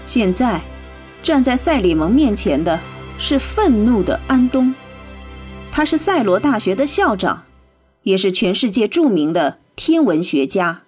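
A woman narrating a scripted radio drama in a clearly phrased storytelling manner. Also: medium pitch, very animated, measured pace, a little mellow. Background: music.

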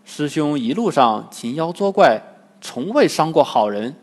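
A man's voice: on the high side, big pitch swings, a little slow, darkish tone, full character voice. A man voicing a loyal, earnest monk disciple in a storybook tale, pleading in tone, with long reverb.

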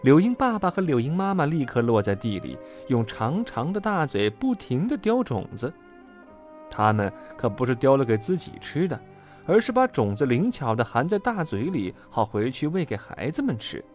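A storyteller narrating a children's storybook in an expressive, dramatic way. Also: medium pitch, normal speed, soft background music.

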